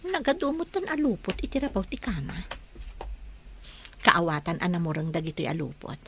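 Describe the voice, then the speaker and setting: A woman playing a gentle, affectionate mother in a radio drama. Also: lowish voice, big pitch swings, normal speed, slight background noise.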